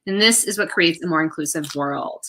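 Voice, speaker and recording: Bright-voiced woman giving a prepared message. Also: deep voice, moderate pace. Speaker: children's book author and art teacher. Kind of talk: prepared video message to viewers.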